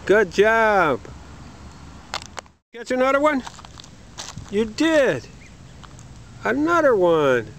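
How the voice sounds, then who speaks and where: A man's voice: high voice, sing-song, drawn-out words, long pauses, a bit bright, a little hiss or hum. A man filming his young son outdoors by a park pond, cheering him on and praising each catch. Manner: encouraging, praising a small child. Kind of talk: home video commentary. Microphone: handheld camcorder. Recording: camcorder audio with music over it.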